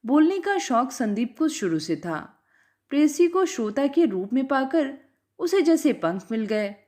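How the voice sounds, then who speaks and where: A woman reading a literary short story aloud in a measured, expressive way, her voice dropping at the end of phrases. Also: high voice.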